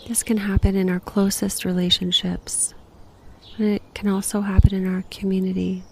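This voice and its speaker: A woman guiding a meditation in a gentle, unhurried, breathy voice that sometimes nears a whisper, giving slow reflective prompts. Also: medium pitch, flat delivery, a little hiss or hum. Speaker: guided meditation host.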